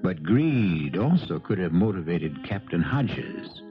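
An American man narrating a 1970s radio mystery as its host, measured and portentous. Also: low-pitched, very animated, highs cut off, over music.

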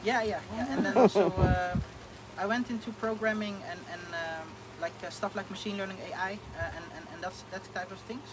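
A man's voice: high voice, steady tone, fairly quick, noisy background. A young man with a Dutch accent, speaking casually as an interview guest, with many fillers and restarts. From Netherlands. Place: outdoor thatched shelter.

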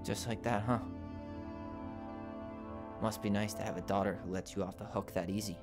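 A man acting a guarded young man in a story-driven game, wounded and blunt. The voice sits fairly low and understated, with music clearly behind it. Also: steady tone, moderate pace, natural voice. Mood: guarded, bitter. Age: young adult.

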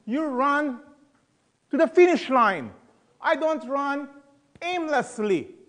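A man's voice: very high-pitched, expressive, slow pace, a bit bright. A middle-aged man teaching a Bible study in a conversational, emphatic way, asking the audience questions. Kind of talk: sermon.

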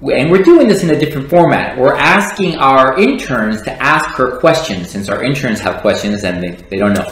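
A man's voice: medium pitch, expressive, moderate pace, fairly bright tone. Wry man interviewer in an echoey room. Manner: wry, teasing asides. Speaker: litigation manager, interviewer. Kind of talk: scripted questions, joking chat. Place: office lounge with large windows. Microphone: tabletop condenser mic.

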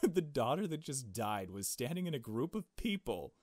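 A man's voice: medium pitch, big pitch swings, moderate pace, a little mellow, no echo, studio quality. A man giving casual, joking gameplay commentary, with irreverent asides as he reads along. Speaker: let's-play host. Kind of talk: casual gameplay commentary with read-aloud dialogue.

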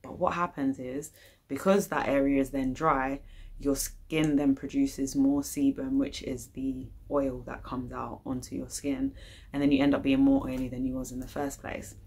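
A woman's voice: extremely low pitch, flat delivery, normal speed, a little mellow, husky. A young adult woman with a British accent, chatting casually and informally as she hosts her vlog. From United Kingdom. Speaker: YouTube channel host. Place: living room, indoors.

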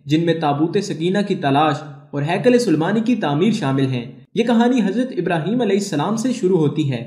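A man with a high, light voice reads scripted documentary narration for his channel. His delivery is formal and measured, with an even, steady read. The room around him rings with noticeable echo. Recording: echoey room.

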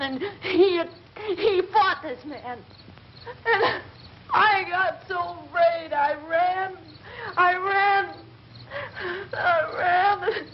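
An actress playing a terrified, hysterical young woman in shock, halting and pleading, in an old horror film. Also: very high voice. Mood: sobbing, frantic. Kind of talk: 1960s horror film acting. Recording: noisy, muffled old film soundtrack.

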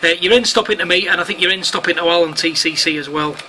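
A man's voice: sits high, fast talker, bright, forceful tone. A man chatting casually over two-way radio in matey slang and radio jargon. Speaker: amateur radio operator filming for online videos. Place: indoors at home, at a radio set. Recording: clearly noisy room recording of radio chat.

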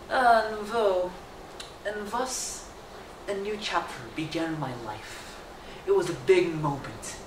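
Indonesian teen guy telling a story in english, bouncy pitch, echoey room, mic kinda far. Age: teens. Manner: animated, gesturing, playful asides. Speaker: student storyteller. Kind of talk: storytelling speech to camera. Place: small indoor room with wallpaper. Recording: echoey room, mic at a distance, faint noise.

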